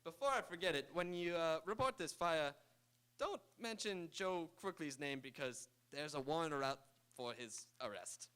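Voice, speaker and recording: A man's voice: a little high, expressive, moderate pace, bright, forceful tone, smooth. A man playing a brash, shameless shyster lawyer in a live old-time radio comedy, wisecracking and mock-pompous. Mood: sly, glib.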